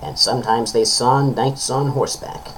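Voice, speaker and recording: A man's voice: medium pitch, normal speed, fairly bright tone, a little hiss or hum, poor audio. A man giving a solemn, formal dramatic reading of a literary tale, drawing out his phrase endings. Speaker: narrator, reader of a story.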